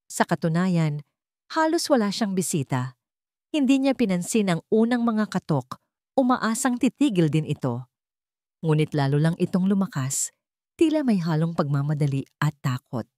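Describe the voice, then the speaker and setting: A woman's voice: medium pitch, a little mellow, no room sound, clean studio sound. A woman with a Filipino accent reading a scripted story in a dramatic narration style.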